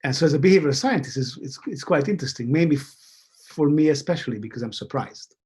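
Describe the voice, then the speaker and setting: Man, slightly high husky voice, halting thoughtful academic talk, emphatic key words, video call. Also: animated, darkish tone. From Hungary. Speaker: academic guest, behavioral scientist. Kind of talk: thoughtful interview conversation.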